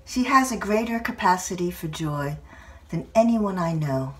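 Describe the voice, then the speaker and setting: An older woman reads a literary personal essay aloud in a measured, expressive storytelling manner. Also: lowish voice, far from the mic. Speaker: writer reading own essay.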